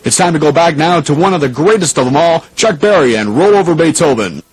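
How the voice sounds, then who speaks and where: A man playing a 1980s radio station host, speaking in a measured, formal announcer style. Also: on the high side, animated, natural voice. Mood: upbeat, announcing. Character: 1980s male radio DJ hosting oldies, turning newsreader. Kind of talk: radio DJ and news bulletin announcing. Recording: noisy radio broadcast recording.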